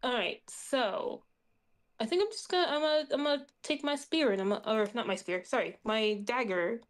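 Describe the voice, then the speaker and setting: A woman's voice: on the high side, normal speed. A woman chatting casually as a player in a tabletop game, sounding unsure and questioning.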